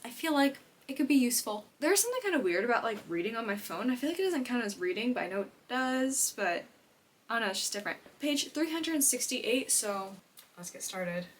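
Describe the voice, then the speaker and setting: A woman's voice: fairly high pitch, a little hiss or hum. A woman chatting casually to camera as a book vlogger, with an effusive, dramatic and slangy manner. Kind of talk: casual chat to camera in a reading vlog.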